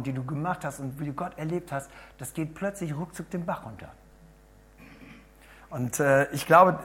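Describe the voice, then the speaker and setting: A man with a hoarse voice preaches to a gathered group, talking in a chatty way and turning to them with questions. His delivery jumps between loud, emphatic bursts and quiet, murmured asides. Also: a little high. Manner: chatty, asks the audience questions. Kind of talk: lively sermon to a congregation.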